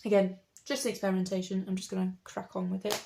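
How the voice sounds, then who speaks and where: A woman in her late twenties, croaky from a cold, chatting in a rambling, self-correcting way as she talks through her printmaking. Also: medium pitch, flat delivery, fast, background noise. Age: late 20s. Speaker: artist and printmaker. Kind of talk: casual chat over studio work.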